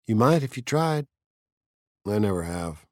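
A man's voice: fairly low pitch, big pitch swings, unhurried, dry recording, studio quality. A man voicing a guarded, uneasy male fireman who speaks in terse, defensive replies.

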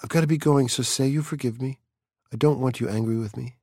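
A man's voice: mid-range voice, fairly quick, a little mellow, dry recording, clean studio sound, pitched above the performer's own voice, close to an everyday voice. A man voicing a peculiar, dreamy teenage girl of nearly seventeen, whimsical and earnest in her chatter. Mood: apologetic.

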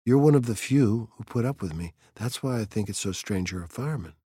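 A man's voice: on the low side, lively intonation, brisk, mellow, husky, dry recording, clean studio sound. A man voicing a peculiar, dreamy teenage girl of nearly seventeen, whimsical and earnest in her chatter.

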